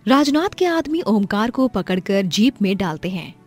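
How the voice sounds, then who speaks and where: A woman's voice: medium pitch, sing-song, normal speed, dry recording, faint music behind. A woman reading a scripted story as its narrator.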